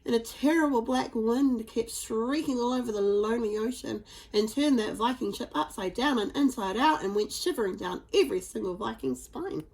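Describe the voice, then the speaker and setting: A woman reading a children's storybook aloud with expressive, dramatic emphasis. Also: a little high, moderate pace, gravelly, few pauses, poor audio. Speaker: storybook reader.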